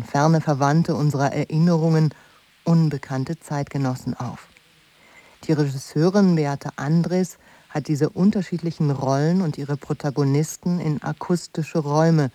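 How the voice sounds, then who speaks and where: A woman's voice: low voice, dark tone. A woman presenter reading a scripted introduction to a radio play. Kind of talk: scripted narration.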